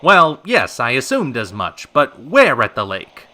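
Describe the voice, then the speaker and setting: A man's voice: mid-range voice, huge pitch swings, a little slow, bright, forceful tone, full character voice. An actor playing a teasing, showy male host in a 1940s-style radio drama. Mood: prying.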